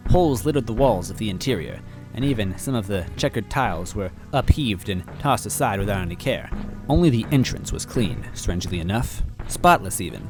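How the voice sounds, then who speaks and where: A man narrating a story in first person in a wry, measured way. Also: fairly low pitch, expressive, music in the background. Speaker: story reader.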